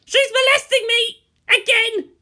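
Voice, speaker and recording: A man voicing a fiery, bossy teenage anime girl who scolds and shouts indignantly. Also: very high voice, fairly even intonation, unhurried, voice pushed up, heavily acted. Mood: furious.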